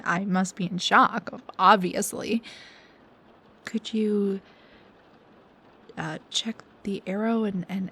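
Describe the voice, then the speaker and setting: A young woman playing a snarky, high-strung research assistant in an intimate audio roleplay, with a halting, stammering, dramatic delivery. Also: vocal fry, slight background noise. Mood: subdued, puzzled. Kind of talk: intimate one-sided audio roleplay acting.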